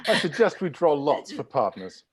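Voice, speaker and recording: A man's voice: on the high side, very animated, moderate pace. A man playing a flustered, evasive butler in a comedy script read-through. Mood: brisk, organising. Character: flustered, evasive butler taking charge of guests.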